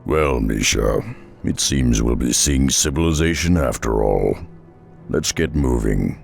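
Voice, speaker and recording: An actor playing a world-weary, brooding half-orc, half-ogre warrior in a video game, speaking slowly and solemnly in a gravelly voice. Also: male voice, rumbling low, lively intonation, full character voice. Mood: calm, resolute. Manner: brooding, solemn, slow-spoken. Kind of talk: video game cutscene acting. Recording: music behind the voice.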